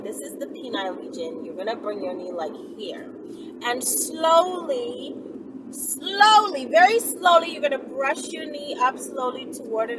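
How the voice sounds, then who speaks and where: A woman giving informal, conversational instruction to listeners as a channel host, often checking in with a short tag question. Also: a little high. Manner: conversational, often tagging sentences with "right?". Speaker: channel host giving explicit adult advice. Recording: distant mic, loud ambient vehicle-like noise.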